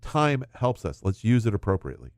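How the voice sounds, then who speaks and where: A man's voice: lowish voice, very animated, a little fast, no echo, studio quality. A middle-aged man, a financial advisor, explaining retirement investing in casual chat with hypothetical examples.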